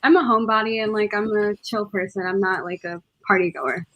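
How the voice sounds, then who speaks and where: A woman's voice: mid-range voice, fairly even intonation, fairly quick, a bit bright, low-quality recording. A woman in her twenties from Connecticut, a music artist chatting casually as an interview guest. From Connecticut, United States.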